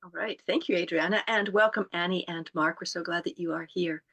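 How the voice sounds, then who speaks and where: Calm, lower-voiced woman co-hosting. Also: gravelly. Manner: calm, unhurried, many ums. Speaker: co-host. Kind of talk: guiding group instructions.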